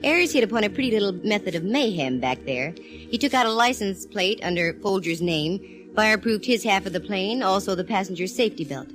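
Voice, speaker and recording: A woman's voice: medium pitch, lively intonation, brisk, fairly bright tone, lightly acted. An actress playing a sassy woman private eye in a 1940s radio drama, breezy and wisecracking. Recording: old radio broadcast with faint organ music.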